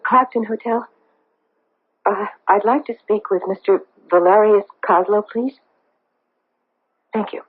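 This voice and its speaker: An actress playing an anxious, guarded middle-aged wife in a radio drama, earnest and hesitant. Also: female voice, mid-range voice, measured pace, long pauses, breathy edge. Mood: anxious, pleading. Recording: tinny, band-limited vintage radio recording.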